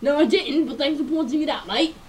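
A young woman puts on a cocky doll guy who brags his voice is the manliest around, though it sits high. He bickers in playful, teasing banter, recorded on a home camera with faint hiss behind. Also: fairly quick, a little mellow, recorded from a distance, close to an everyday voice. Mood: joking. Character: boastful doll guy Georg with a hat. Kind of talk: homemade doll puppet show.

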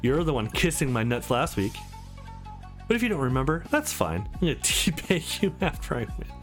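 A man's voice: medium pitch, sing-song, moderate pace, soft background music, natural voice. A man voicing a brash young female sparring partner in a visual novel, blunt and goading. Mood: cocky. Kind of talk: visual novel dialogue read aloud.

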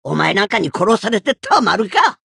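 Casting: stern, scolding elderly woman fighter for a game; husky and gravelly, delivery swings widely in pitch. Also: big pitch swings, normal speed, a bit bright, no room sound, studio quality, exaggerated voice. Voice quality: gravelly, raspy. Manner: blunt, old-fashioned elderly phrasing. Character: gruff old female martial arts master, stern and scolding. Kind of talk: video game character voice lines.

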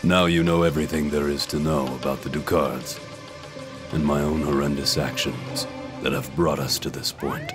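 A man's voice: a bass voice, fairly even intonation, normal speed. A man playing a grave, brooding masked vigilante, solemn and terse, in a comic-book drama. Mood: remorseful. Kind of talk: scripted comic-book narration and dialogue. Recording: loud music under the voice.

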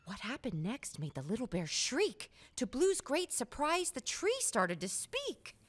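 Animated, high-pitched woman reading to children. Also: a little slow, slightly rough. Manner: animated, playful, emphatic. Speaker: children's storybook reader. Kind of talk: expressive read-aloud with chatty asides for young children.

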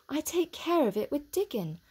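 A woman reading a storybook aloud and voicing a blunt, bossy young girl in a raised, firm, matter-of-fact tone, with a breathy voice. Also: sits high, very animated, normal speed, darkish tone, pitched above the performer's own voice. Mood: confiding.

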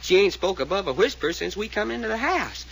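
An actor playing a blunt, excitable young adventurer with a folksy drawl, in 1940s radio style. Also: male voice, high voice, fairly quick, creaky, full character voice, rough recording. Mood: startled, puzzled. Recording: old radio recording with faint hiss.

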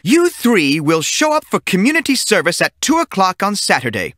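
A man voicing a stern, bony older female teacher who speaks slowly and insistently. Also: sits high, wildly swooping pitch, a bit bright, dry recording, studio quality, pitched above the performer's own voice, exaggerated voice.

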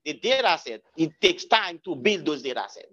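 Halting man speaker at a meetup. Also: a little high, sing-song, pushed and bright, lo-fi sound. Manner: halting, with many restarts and repeated words. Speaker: guest speaker. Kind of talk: answering audience questions at a meetup talk. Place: lecture room.

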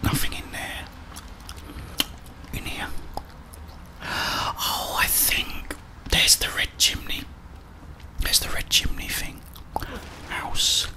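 A man's voice: slow pace, long pauses. A middle-aged British man giving whispered ASMR commentary on a video game, muttering his thoughts aloud. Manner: muttering to himself, thinking aloud. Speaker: gaming streamer.

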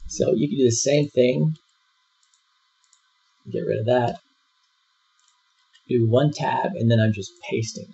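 A man giving a screen-recorded software tutorial, walking through each step in a relaxed way with frequent fillers. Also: medium pitch, slow, creaky, pauses often, breathy edge. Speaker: software instructor. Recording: dull lo-fi voice-over.

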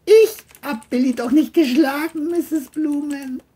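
A woman's voice: high-pitched, a little slow, dark tone, voice pushed up. A woman voicing an abusive, sneering man, a mean bully with a menacing, mocking manner, in an audio crime drama. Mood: falsely friendly.

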